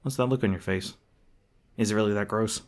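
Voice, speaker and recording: A man playing a gruff, defensive monster hunter who secretly cares, in an intimate roleplay audio drama, with casual, sweary, hesitant speech. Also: lowish voice, brisk, natural voice. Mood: awkward small talk. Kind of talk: intimate ASMR roleplay acting.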